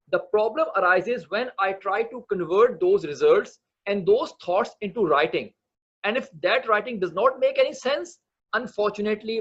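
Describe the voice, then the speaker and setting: A man's voice: high-pitched, fairly bright tone, husky, lo-fi sound. A man from Pakistan, not a native English speaker, teaching an online training session on research writing, earnest and full of hesitant fillers. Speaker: medical writing instructor.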